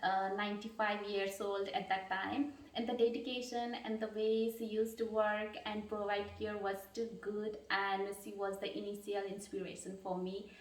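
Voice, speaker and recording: A woman's voice: medium pitch, flat delivery. Earnest woman from Nepal, echoey and distant. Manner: earnest, hesitant, pauses with fillers and restarts. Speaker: job candidate. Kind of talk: mock job interview answers. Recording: echoey room, distant mic.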